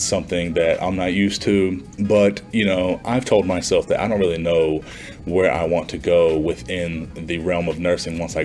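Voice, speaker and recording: A man in his twenties with a low-pitched voice talks in a chatty, informal way. He sounds like a student recording a casual vlog in a small room, with music softly present. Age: 20s. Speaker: nursing student vlogger. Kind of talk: casual vlog talk to camera. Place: small plain indoor room.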